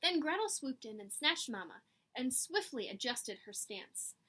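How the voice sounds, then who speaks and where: Bright, animated high-voiced woman storyteller. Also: a little slow. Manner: animated, dramatic emphasis, teacherly asides. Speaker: picture book reader for children. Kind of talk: expressive read-aloud storytelling with asides to children.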